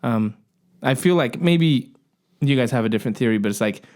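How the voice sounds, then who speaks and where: A man's voice: medium pitch, animated, a little fast, a little mellow. A man co-hosting a podcast, talking through things in casual discussion. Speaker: podcast co-host.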